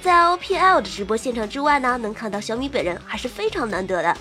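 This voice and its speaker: A woman with a high voice presents an online show as its host. She delivers scripted introductions and sign-offs over faint music. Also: sing-song, quick pace, pushed and bright.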